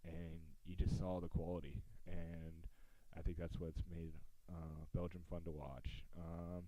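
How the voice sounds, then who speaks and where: Deep, dark-voiced American sports podcast host. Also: male voice, flat delivery, a little slow, dry recording, low-quality recording. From United States. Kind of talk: casual sports talk.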